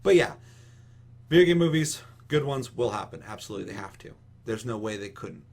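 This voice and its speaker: A man's voice: mid-range voice, lively intonation, a little fast, darkish tone. A man co-hosting a casual gaming talk show, ranting with strong opinions in an emphatic, rambling, swear-heavy way. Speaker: podcast co-host.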